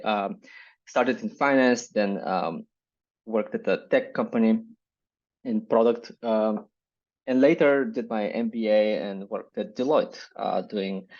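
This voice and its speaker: A man with a Bulgarian accent answers interview questions in a measured, reflective way. His voice sits on the low side. The recording sounds dull and lo-fi. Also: darkish tone. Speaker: startup founder and guest. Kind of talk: business interview.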